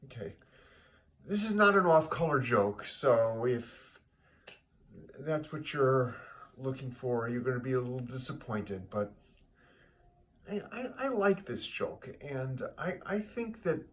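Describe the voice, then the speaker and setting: A man hosting a casual joke segment, speaking to listeners in a rambling, halting way and often repeating words as he goes. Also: mid-range voice, lively intonation, a little hiss or hum. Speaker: joke teller, host of a regular joke segment.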